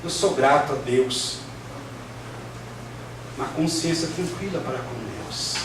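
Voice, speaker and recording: A man's voice: mid-range voice, takes it slowly. A Brazilian man preaching a sermon as a pastor, with emphatic, repetitive phrasing. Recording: distant, very echoey room, faint noise.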